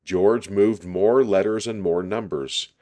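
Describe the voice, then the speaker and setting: A man reading a children's story aloud in an unhurried, deliberate storytelling style. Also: fairly low pitch, dark tone.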